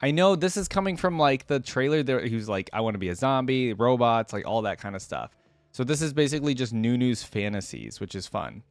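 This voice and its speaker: A man's voice: mid-range voice, animated, brisk, dry recording, soft background music. A man giving casual, unscripted reaction commentary as a video host, chatty and thinking aloud.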